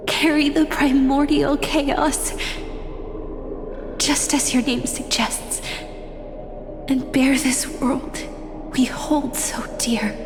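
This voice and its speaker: A woman voicing a gentle young guardian of time in a game cutscene, soft, wistful and often whispery. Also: sits high, fairly even intonation, slow, long pauses. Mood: tender. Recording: reverberant with music behind.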